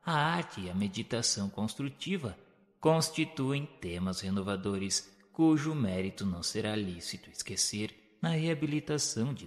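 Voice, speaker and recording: A Brazilian man narrates a spiritual audio novel in a solemn, formal, measured storytelling manner. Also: on the low side. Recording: long hall-like reverb.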